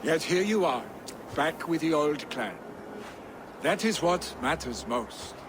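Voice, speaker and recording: An actor playing a bold, boastful Viking warrior in a video game, hearty and teasing in a declamatory way. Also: male voice, on the high side, animated, slow pace, noisy background. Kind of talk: dramatic video game acting.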